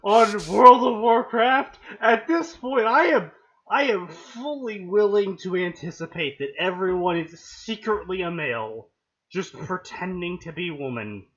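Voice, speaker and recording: A man's voice: sits high, expressive, measured pace, bright, forceful tone. A man co-hosting a fanfiction-reading stream, rambling with dry, wry jokes in casual banter. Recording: dull lo-fi mic.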